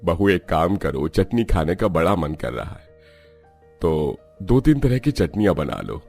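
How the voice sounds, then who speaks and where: A genial older father-in-law from a cartoon household tale, voiced by a man. He speaks in a very low, creaky voice with music underneath. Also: sing-song, measured pace, darkish tone, exaggerated voice.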